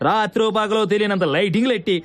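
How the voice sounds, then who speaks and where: Nosy grumbling relative, high voice, very fast chatter, fussy, film comedy. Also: male voice, steady tone, a bit bright. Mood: indignant. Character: nosy, chattering, grumbling wedding guest from groom's side.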